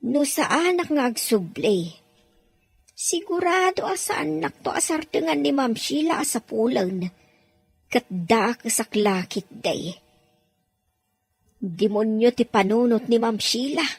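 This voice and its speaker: A fretful, soft-hearted woman caring for a baby in an Ilocano radio drama. Her high voice swoops and sighs as she laments slowly to herself. Music plays underneath. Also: lively intonation, gravelly, slightly rough, no room sound, exaggerated voice. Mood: worried, lamenting. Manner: sighing, lamenting, talking to herself. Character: anxious woman left minding a stranger's baby, fretful, kindly.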